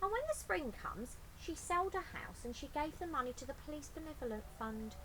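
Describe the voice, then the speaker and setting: A woman's voice: sits high, animated, fairly quick, noisy background. A woman reading a picture book aloud expressively, adding chatty asides to young listeners. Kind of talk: expressive children's story reading.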